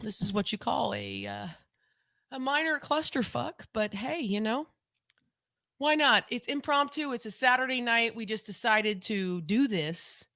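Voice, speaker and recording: A woman co-hosting a casual home livestream podcast on a desk mic, talking in a rambling, chatty way and repeating herself, her voice lower than usual today. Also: measured pace, gravelly, bright, forceful tone, no room sound, clean studio sound. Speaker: podcast co-host.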